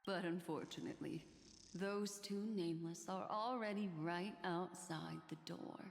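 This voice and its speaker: A woman playing a composed, defiant young woman in a video game, measured and formal with a quietly sardonic edge. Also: lowish voice, steady tone, creaky, a little hiss or hum. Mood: calm defiance. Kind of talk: scripted video game voice acting. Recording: long reverb with music behind.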